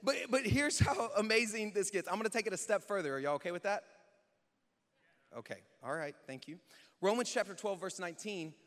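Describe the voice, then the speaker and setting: Guy preaching in a big echoey hall, highish voice, chatty church sermon, repeats stuff for emphasis. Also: fairly quick, a bit bright. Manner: emphatic, informal, rhetorical repetition and questions. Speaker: pastor preaching to a congregation. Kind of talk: contemporary conversational sermon. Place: large church hall, speaking to an audience. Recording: echoey hall with long reverb.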